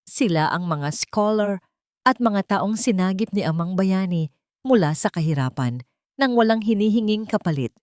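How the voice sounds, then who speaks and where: A woman's voice: medium pitch, expressive, moderate pace, darkish tone, no echo, clean studio sound, natural voice. A woman voicing a steely, loyal female companion in an audio story, intent and cool-headed. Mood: urgent, excited.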